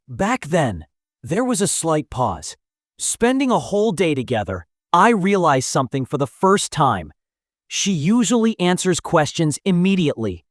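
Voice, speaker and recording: A man plays an awkward teenage schoolboy in an anime-style story dub. His delivery swings widely in pitch, hesitant and earnest, as if thinking aloud. The recording is dry. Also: very animated, a little slow, no room sound. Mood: pensive. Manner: hesitant, musing to himself. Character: anxious, awkward teenage schoolboy loner, earnest and easily flustered. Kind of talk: anime-style dubbed story acting with inner monologue.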